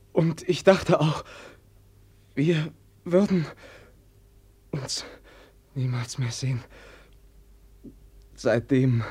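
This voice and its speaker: A man acting a gentle, naive young prince in a German radio play, halting and soft-spoken, with sincere self-deprecation. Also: fairly high pitch, husky. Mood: hesitant.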